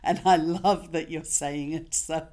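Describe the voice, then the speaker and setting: A woman's voice: low voice, fairly even intonation, unhurried, dark tone. A woman hosting a casual interview, eagerly agreeing and asking pointed questions. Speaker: interview host.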